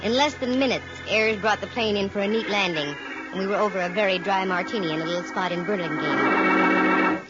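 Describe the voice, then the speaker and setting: An American actress playing a sharp-witted, wisecracking woman private detective in old radio drama style, wry and breezy. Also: medium pitch, a little fast, natural voice. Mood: wry narrating. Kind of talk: 1940s-50s radio detective acting, first-person narration. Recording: muffled vintage radio recording with music.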